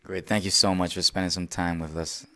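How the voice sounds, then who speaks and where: A man conducting an interview, asking questions in a polite, halting, hedging way. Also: sits low, level tone, bright, forceful tone.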